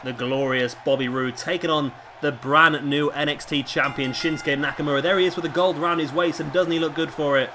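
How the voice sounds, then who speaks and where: Male gaming commentator, slightly high-pitched but hoarse, casual play-by-play, over clear background noise. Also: fairly even intonation, a little fast, hardly any pauses. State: hoarse with a sore throat. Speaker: gaming video host and commentator. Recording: clearly noisy home recording.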